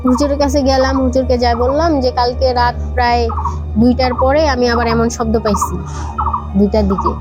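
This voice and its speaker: Young female guest, Bangladeshi Bengali accent, high voice, halting conversational storytelling, clear music bed underneath. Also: steady tone. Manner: repetitive, halting phrasing in short bursts. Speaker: podcast guest. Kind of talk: personal ghost story told conversationally.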